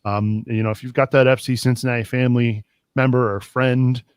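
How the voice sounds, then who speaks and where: A man's voice: mid-range voice, a little fast, clean tone, no room sound, studio quality. A man hosting a soccer podcast, chatting casually and thanking guests as he wraps up. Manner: relaxed, wraps up segments with thanks. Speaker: podcast host. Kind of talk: casual chat.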